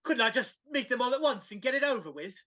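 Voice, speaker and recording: A woman's voice: on the high side, expressive, fast talker, a bit bright. A woman playing a grumpy, frightened old male miser in a live dramatic reading, formal and querulous. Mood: uneasy, querulous. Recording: dull, muffled video-call audio.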